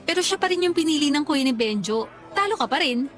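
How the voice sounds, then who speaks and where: A frank female confidante with a very high voice. She teases in playful slang, radio-drama style, with faint music underneath. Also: expressive, quick pace. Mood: teasing, frank. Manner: teasing, slangy. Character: teasing, frank female best friend and confidante. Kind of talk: Tagalog radio drama dialogue. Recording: music behind the voice.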